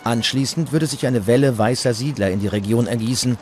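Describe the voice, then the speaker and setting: A man's voice: mid-range voice, brisk, darkish tone, slight background noise. A man reading scripted documentary narration as the narrator.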